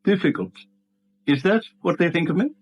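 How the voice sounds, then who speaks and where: An actor playing a bitter middle-aged British bank manager in a radio drama, brusque, indignant and clipped, on a dull vintage recording. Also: male voice, high-pitched, animated, normal speed, slight background noise. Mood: bitter, aggrieved. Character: bitter, prickly long-serving male acting bank manager, older. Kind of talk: 1970s radio drama acting.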